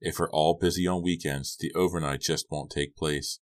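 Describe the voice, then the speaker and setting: A man voicing a calm, supportive teenage boy in an audiobook, with a reassuring manner. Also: rumbling low, moderate pace. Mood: practical.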